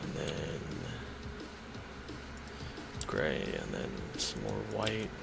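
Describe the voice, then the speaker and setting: Hoarse man rambling while he draws. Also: rumbling low, sing-song, drawn-out words, loud music behind the voice. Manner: rambling, thinks aloud. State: hoarse, strained from two hours of talking. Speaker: YouTuber drawing on stream. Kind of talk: casual commentary while drawing.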